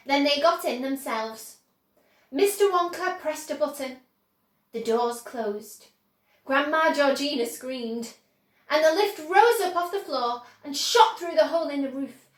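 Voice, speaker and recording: A woman storyteller with a high voice reads to children. Her narration is expressive and slow-paced, with the echo of a room around it. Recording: roomy, echoing indoor sound.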